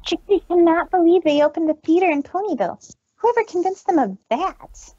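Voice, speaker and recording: A woman's voice: very high voice, animated, fairly quick, dark tone, no room sound, dull audio. A woman voicing a proud but earnest female pony magician in a theatrical reading of fan fiction. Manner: theatrical, speaks of self in third person.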